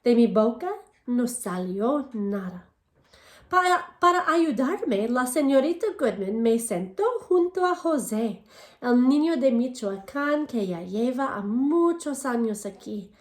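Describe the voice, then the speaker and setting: A woman teacher reads a children's picture book aloud, first in English, then in Spanish. Her voice sits a little high. She speaks expressively and turns to her young listeners with questions. The sound carries a noticeable room echo. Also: expressive, measured pace. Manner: alternates English and Spanish readings. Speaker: elementary school teacher. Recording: echoey room.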